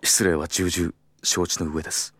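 An earnest, principled office worker in an audio drama, with a fairly low voice. He moves between formal, deferential business speech and blunt private thought, in a dry, close recording. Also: little pitch movement, moderate pace, fairly bright tone, no room sound. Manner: formal and deferential aloud, blunt in inner monologue. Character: earnest, principled male travel agency employee. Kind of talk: Japanese audio drama acting.

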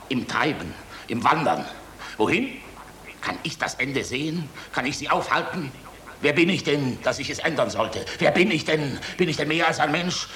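An actor playing a weary, bitter German general in a radio play, speaking in an emphatic, rhetorical, theatrical way. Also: male voice, on the high side, pushed and bright, a little hiss or hum. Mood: anguished. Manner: emphatic, rhetorical, swinging from gruff to impassioned. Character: weary, bitter German air force general, defiant and tormented. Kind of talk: theatrical radio drama acting.

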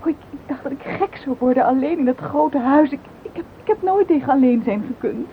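An actress playing a fragile, lonely widow in a radio drama, with a Netherlands Dutch accent and a halting, pleading way of talking. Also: female voice, high voice, lively intonation. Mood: sad, hesitant. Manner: halting, pleading, repeats words. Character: fragile, lonely widowed woman, timid and anguished. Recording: tinny, noisy old radio recording.

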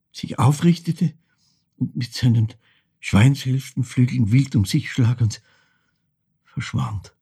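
An actor with an Austrian accent playing a haunted older man who recalls his childhood in a halting, intense dramatic monologue, his voice husky and gravelly. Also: on the low side, mellow, exaggerated voice. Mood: agitated, awed. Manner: halting, intense, dropping into near-whispers. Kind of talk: dramatic first-person literary monologue.

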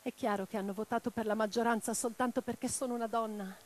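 A woman's voice: fairly high pitch, steady tone, quick pace, natural voice. An actress playing an impassioned, reflective female socialist revolutionary in a theatrical radio drama monologue, with a dramatic, declamatory delivery. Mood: earnest. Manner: declamatory, dramatic, swinging from hushed to forceful.

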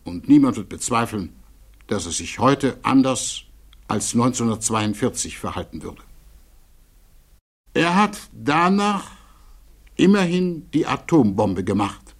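An actor playing an earnest defense attorney in a German radio play, delivering a formal, measured plea with emphatic rhetoric and deliberate pauses. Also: male voice, mid-range voice, big pitch swings. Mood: insistent, grave. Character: defense attorney pleading earnestly before a security hearing.

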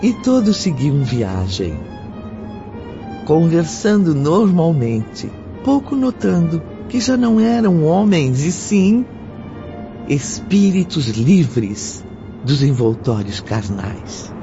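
Slow, gravelly male storyteller over music. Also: a little high, sing-song. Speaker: drama narrator. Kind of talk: scripted dramatic narration. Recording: dull lo-fi audio with prominent music.

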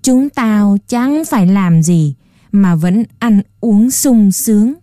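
A woman voicing a smug, swaggering male rat who mocks and tempts in a low, sly voice. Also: lively intonation, slow pace, dark tone, dry recording, clean studio sound. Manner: mocking, chuckling, boastful.

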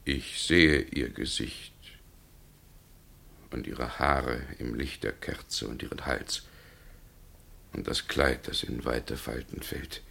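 A man's voice: extremely low pitch, steady tone, slow pace, gravelly, pauses often, sharp tone, heavily acted. An actor playing a brooding, reluctant man pressed into murder, grave and formal, in a solemn 1960s radio play. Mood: hushed, wary.

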